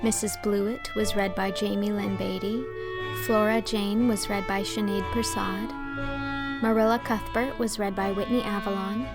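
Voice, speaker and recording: A woman reading a classic novel aloud as scripted audiobook narration. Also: medium pitch.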